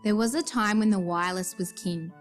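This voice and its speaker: A woman with a mid-range voice introduces a radio play series. Her delivery is scripted announcing. Soft music plays behind her. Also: medium pitch, fairly quick.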